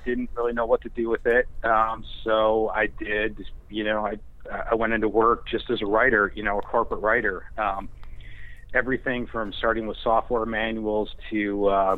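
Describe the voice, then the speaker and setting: A man's voice: fairly low pitch, no room sound. A man speaking over a phone line as an interview guest, hesitant and self-deprecating in casual conversation. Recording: band-limited phone line.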